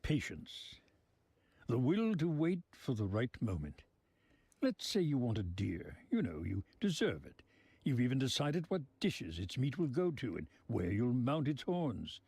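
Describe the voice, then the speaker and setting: An actor playing a genteel, sly old mob boss in a video game, speaking in florid, deliberate phrases. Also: male voice, mid-range voice, darkish tone, slightly rough, dry recording. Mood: musing, sly. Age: older.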